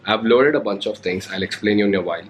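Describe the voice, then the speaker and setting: A young man with an Indian English accent talks through a casual unboxing, his voice a little worn from a cold. He pauses often with hesitant fillers, and his voice sits on the lower side. A faint hiss runs under the recording. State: recovering from a cold. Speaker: motovlogger. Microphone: handheld recorder or camera mic.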